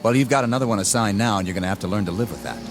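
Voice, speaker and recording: An actor playing an arrogant, inexperienced army lieutenant in a radio drama, with a clipped, formal, commanding manner. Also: male voice, on the low side, rapid-fire, natural voice. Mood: stern. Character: arrogant, rigid, inexperienced young army lieutenant, eager for combat. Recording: dramatic music under the dialogue.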